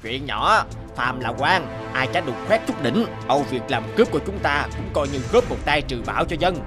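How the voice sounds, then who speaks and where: A man acting male characters in an animated Vietnamese folk tale. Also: mid-range voice, normal speed, close to an everyday voice. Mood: scheming. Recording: loud background music.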